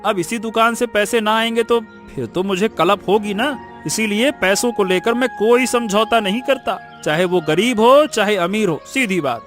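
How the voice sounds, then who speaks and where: A man's voice: high-pitched, over music, natural voice. A man playing a greedy, sarcastic, grumbling village shopkeeper in a cartoon. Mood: irritated complaining. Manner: sarcastic, complaining, drawn-out teasing.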